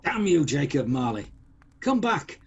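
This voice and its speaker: A man's voice: on the high side, sing-song, unhurried. A man acting a grumpy, sceptical old miser in a radio play, with a blustering, indignant, exclamatory delivery. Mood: irritable. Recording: dull lo-fi sound, music behind.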